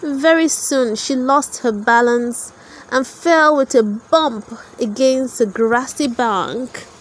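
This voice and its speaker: A woman's voice: high voice, slow. A woman narrating a children's storybook, reading a scripted tale aloud for toddlers.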